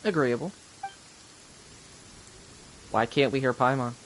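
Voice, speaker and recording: A man's voice: medium pitch, slow pace, a bit bright. A man giving casual live gameplay commentary as a streamer, in short offhand remarks. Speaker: game streamer. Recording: noisy live stream mic with clicks.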